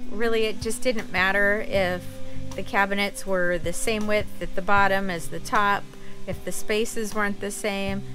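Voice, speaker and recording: A middle-aged woman talking casually about a motorhome renovation, chatty and a little exasperated, filming inside the coach on a handheld camera. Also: medium pitch. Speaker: RV renovation vlogger. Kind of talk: casual chat. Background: background music.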